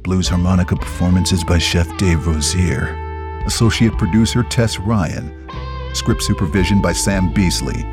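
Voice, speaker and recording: A man's voice: low voice, measured pace, over music. A man reading scripted production credits as an announcer.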